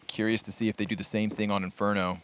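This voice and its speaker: A man's voice: lowish voice, level tone, quick pace, a bit bright, slight background noise. A man giving live play-by-play commentary on a competitive video game match.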